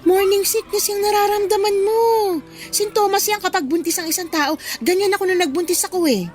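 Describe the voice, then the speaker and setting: A no-nonsense older workmate in a radio drama. Her high voice is frank and chiding, like an elder sister who questions everything. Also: fairly even intonation, brisk, soft background music. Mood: concerned, probing. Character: blunt, scolding older female coworker, a mother herself. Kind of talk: Tagalog radio drama acting.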